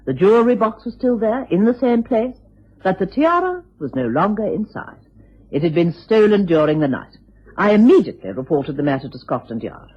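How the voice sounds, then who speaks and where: A muffled, rough-edged old radio drama recording of an aristocratic English widow. Her upper-class voice is genteel and formal, swinging expressively with theatrical dignity. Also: medium pitch, big pitch swings, fairly quick, darkish tone. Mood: composed, regretful.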